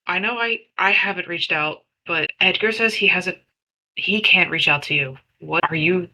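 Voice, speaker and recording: A woman playing an exasperated, anxious female spellcaster in a tabletop game, blunt, sweary and halting. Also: on the low side, sharp tone, full character voice, low-quality recording. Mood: worried.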